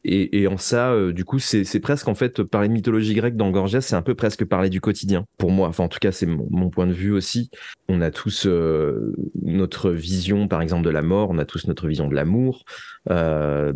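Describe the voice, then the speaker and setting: Low-voiced man, reflective interview guest. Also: darkish tone, no room sound. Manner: hesitant, searching phrasing with repeated words. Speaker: guest, writer of audio fiction.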